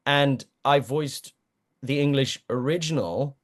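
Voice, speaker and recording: A man whose first language is German talks in a relaxed, rambling way as an interview guest. His voice sits at an ordinary mid pitch. He laughs often, pokes fun at himself and restarts his sentences as he goes. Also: takes it slowly. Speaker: guest voice actor. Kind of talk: casual interview chat.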